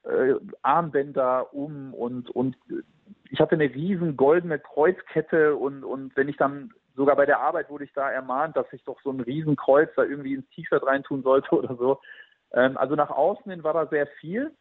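A man in his twenties shares his personal testimony in an earnest, thoughtful way, pausing with small fillers as he searches for words. His voice is a little husky, and the close, dry sound has a tinny, phone-like narrowness. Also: medium pitch, no room sound. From Germany. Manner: earnest and reflective, with frequent hesitations.

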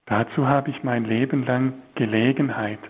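A priest from Swabia, giving a spiritual talk in a slow, searching way with many hesitations. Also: male voice, medium pitch, steady tone, slightly rough, narrow, tinny audio. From Swabia, Germany. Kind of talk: spiritual talk on a religious broadcast.

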